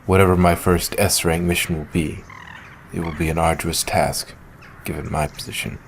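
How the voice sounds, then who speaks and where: A man playing a solemn, reserved young ninja and elder brother in an audio drama, speaking in a measured, formal, brooding way. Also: sits low, little pitch movement, gravelly, slight background noise. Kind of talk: fan audio drama acting.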